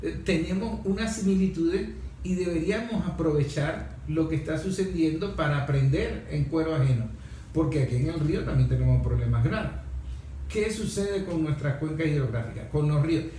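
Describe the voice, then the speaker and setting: Very reverberant room, Venezuelan man, high-pitched voice, moderator, opinionated, rhetorical questions. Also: normal speed, warm tone. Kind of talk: panel discussion, moderating. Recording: very echoey room, faint noise.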